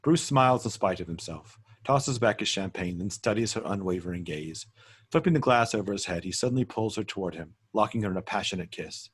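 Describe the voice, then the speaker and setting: A man narrating screen directions at a script table read in a measured, descriptive way. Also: on the low side, lively intonation, husky.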